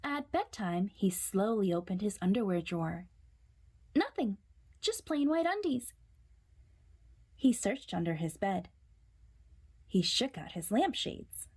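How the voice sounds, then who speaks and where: A woman storyteller reading a children's picture book aloud in a dramatic, suspenseful way, sometimes hushing her words. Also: medium pitch, breathy edge.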